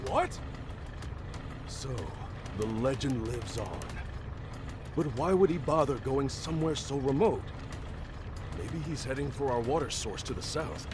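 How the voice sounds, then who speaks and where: A man playing an excitable, battle-eager army general in a video game, brashly barking orders. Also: medium pitch, unhurried, long pauses, noisy background, close to an everyday voice. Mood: fired-up, commanding.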